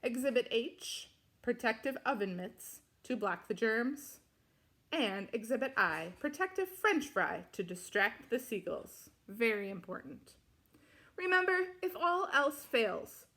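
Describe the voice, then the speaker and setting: A woman reading a children's picture book aloud in an expressive, deliberate way with dramatic emphasis. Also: a little high. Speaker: storybook reader.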